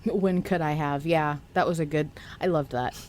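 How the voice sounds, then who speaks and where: A woman's voice: deep voice, moderate pace, darkish tone, a little hiss or hum. A woman co-hosting a podcast, chatting in an informal, enthusiastic way and swearing freely. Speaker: podcast co-host. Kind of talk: casual podcast chat about an audio drama.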